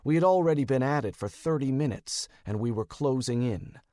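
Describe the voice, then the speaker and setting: A man's voice: mid-range voice, lively intonation, brisk, darkish tone, no echo, clean studio sound. A man with an American accent reading wry first-person detective fiction as an audiobook narrator. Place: dry studio booth.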